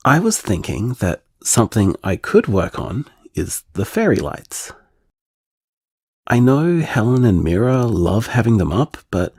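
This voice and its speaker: A man playing an anxious, tender man confiding in voicemails to his partner in an intimate audio drama, hesitant and earnest, with halting phrasing. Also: medium pitch, darkish tone, husky, no room sound, clean studio sound, full character voice.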